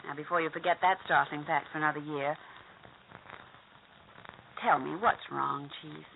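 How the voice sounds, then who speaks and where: A woman's voice: low-pitched, fairly even intonation, normal speed, vocal fry. An actress playing a devoted young female secretary in a 1940s radio drama, affectionate and teasing. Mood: tender. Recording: tinny old radio broadcast with faint hiss.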